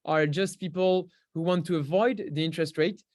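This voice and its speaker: A man's voice: a little high, steady tone, normal speed, no echo, studio quality. A young man from France with a French accent, a podcast guest explaining things in an easygoing way, with many fillers and restarts. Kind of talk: casual interview talk.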